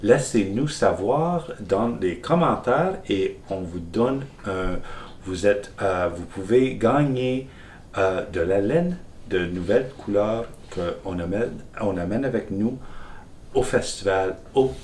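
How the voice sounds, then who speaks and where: A man's voice: on the low side, animated, a little slow, darkish tone. A tired man with a Northern Ontario French accent, co-hosting a casual chat with playful, teasing banter. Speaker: podcast co-host. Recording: echoey room, mic at a distance, faint noise.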